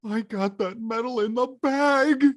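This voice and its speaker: A woman's voice: a little high, unhurried, no echo, studio quality, lightly acted. A woman playing a big, clumsy, good-hearted man coaching someone in a cartoon script read, blunt and a little hesitant. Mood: wry. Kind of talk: live animated-film table read.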